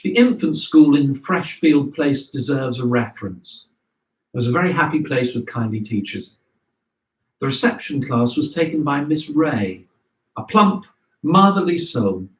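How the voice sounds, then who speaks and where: A man reading a scripted memoir aloud in a measured storytelling way. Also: mid-range voice, lively intonation, a little hiss or hum. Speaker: reader of memoirs. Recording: roomy echo, distant microphone.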